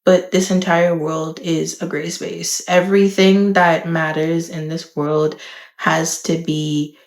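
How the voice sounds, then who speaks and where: A young woman talks straight to her camera, thoughtful and candid. Her voice sits a little low, with a gravelly fry at the edges. The room around her sounds echoey. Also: level tone, slow. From the Bahamas. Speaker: vlogger. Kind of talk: reflective talk to camera.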